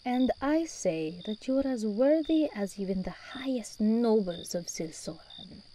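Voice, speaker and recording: An imperious demon princess, acted by a woman, purrs through an ASMR roleplay in a warm, relaxed, mid-pitched voice. She speaks slowly and regally, doting and possessive. Faint ambient noise sits behind her. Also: poor audio. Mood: seductive, possessive. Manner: regal, teasing, unhurried delivery with endearments.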